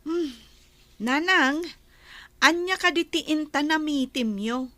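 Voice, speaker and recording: A woman's voice: high voice, takes it slowly, a bit bright, dry recording. A woman acting a puzzled daughter questioning her mother in an Ilocano radio drama, with an Ilocano accent.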